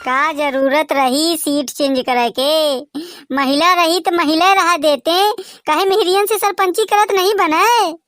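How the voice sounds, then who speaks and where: A man with a Bagheli accent voicing a nagging, sharp-tongued village wife who curses and scolds. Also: very high-pitched, fairly even intonation, measured pace, voice pushed up, full character voice. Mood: complaining. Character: shrill scolding village wife running for office.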